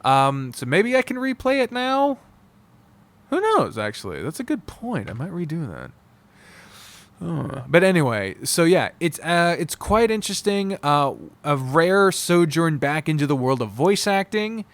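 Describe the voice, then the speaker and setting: Blunt, profane man with a higher-pitched voice. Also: sing-song, no room sound. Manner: halting, digressive, trailing off mid-sentence. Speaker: visual novel reviewer. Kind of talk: unscripted casual review rant.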